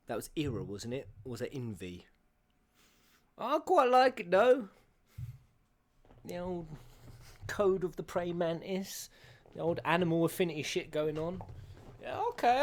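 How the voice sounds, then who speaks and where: A man giving casual, slangy live reaction commentary as a streamer, musing aloud as he watches game cutscenes. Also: on the high side. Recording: game music behind the voice.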